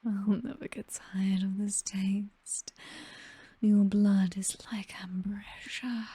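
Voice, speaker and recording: A British vampire mistress acted by a woman speaks in a very breathy, whispered voice, slowly and possessively. She dotes on her servant with teasing, commanding tenderness. It is an intimate ASMR roleplay, close to the microphone and very dry. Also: mid-range voice, mellow, dry recording. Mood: seductive, hungry.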